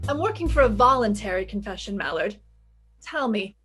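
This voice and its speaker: A woman's voice: mid-range voice, lively intonation, normal speed, fairly bright tone, breathy edge, soft background music. A woman playing a sassy, confident private detective in a 1950s-style radio drama, breezy, wry and commanding.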